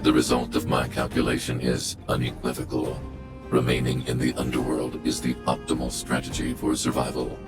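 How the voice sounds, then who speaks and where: An actor playing a cold, calculating giant guardian robot in a video game, speaking in a formal, emotionless, machine-like way. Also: male voice, very deep voice, monotone, normal speed, over music. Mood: cold, analytical.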